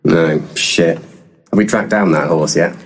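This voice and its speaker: An actor playing a shifty, blunt, deadpan paranormal investigator in a comic audio play. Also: male voice, deep voice, slow. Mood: dry, deadpan. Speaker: actor in an audio comedy drama. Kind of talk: audio play dialogue, dry comedy.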